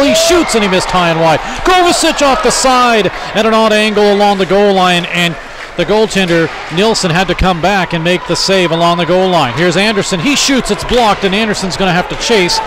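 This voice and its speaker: A man calling a live college hockey game, chatting with co-hosts and rising in excitement on shots. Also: high-pitched, background noise. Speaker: play-by-play announcer. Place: ice arena broadcast booth. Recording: loud arena crowd and music.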